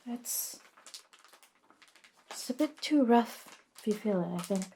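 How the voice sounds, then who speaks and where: A woman playing a friendly, reluctant modern witch in a soft-spoken, gently informal ASMR roleplay that slips into whispers. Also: fairly high pitch, animated, slow pace, a little hiss or hum. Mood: soft, soothing.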